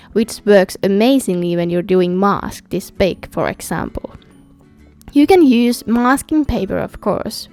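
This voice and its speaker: A woman from Finland, a native Finnish speaker with a Finnish accent, narrating a scripted craft tutorial as its host. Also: mid-range voice. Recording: background music under voice. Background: music.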